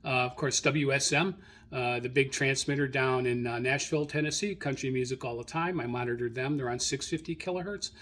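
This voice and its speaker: A man with a medium-pitched voice talks informally as the host of a hobby show. He works through a list without a script, pausing to find his place. His delivery is halting, and he often backs up to correct himself. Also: fairly bright tone. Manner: halting, self-correcting, searching through notes. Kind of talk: informal spoken log rundown, unscripted.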